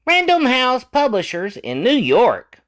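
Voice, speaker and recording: A man reading a children's picture book aloud in an expressive, theatrical, deliberate manner, lingering on words. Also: very high voice. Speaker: storybook reader.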